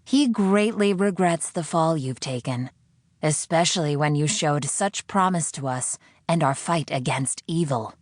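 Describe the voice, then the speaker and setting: A woman's voice: deep voice, unhurried, dry recording, studio quality. A woman voicing a condescending, sanctimonious captor who interrogates a prisoner in an audiobook. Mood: preachy, coaxing. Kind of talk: audiobook character acting.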